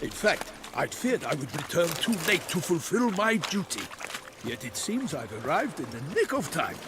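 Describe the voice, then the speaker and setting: An actor playing a proud, earnest knight errant in a video game, speaking in a formal, flowery, theatrical way. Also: male voice, a little high, big pitch swings, moderate pace, noisy background. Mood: courtly, earnest. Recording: music behind.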